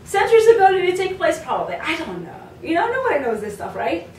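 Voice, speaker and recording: A woman's voice: very high-pitched, huge pitch swings, fast, a little mellow, a little hiss or hum. A woman from Morocco, a belly dance teacher, talking casually to camera with lots of fillers and asides. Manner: chatty, many fillers and asides. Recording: roomy sound from a distant mic.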